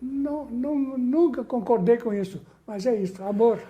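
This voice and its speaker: An older man from southern Brazil, a veteran bandleader being interviewed, who speaks haltingly and repeats words, affectionate and sentimental. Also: very high voice, warm tone, highs cut off. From Rio Grande do Sul, Brazil. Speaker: guest, veteran musician and bandleader. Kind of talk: conversational interview.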